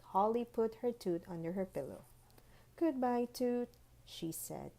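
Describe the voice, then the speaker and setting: A woman's voice: medium pitch, mellow. A woman narrating a children's picture book expressively, as a read-aloud storyteller. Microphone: phone recording.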